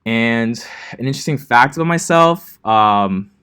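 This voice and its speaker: A young man from Los Angeles teaching a coding class in a relaxed, chatty way, with many ums and likes. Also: mid-range voice, a little slow, smooth. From Los Angeles, California. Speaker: coding instructor. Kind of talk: casual classroom lecture.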